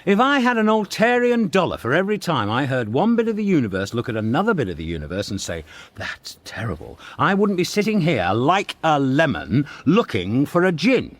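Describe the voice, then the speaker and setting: An actor playing a brash, blasé alien hitchhiker in a radio comedy, with a British accent and an offhand, sardonic, rambling manner. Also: male voice, a little high, no echo, exaggerated voice. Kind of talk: radio comedy drama acting.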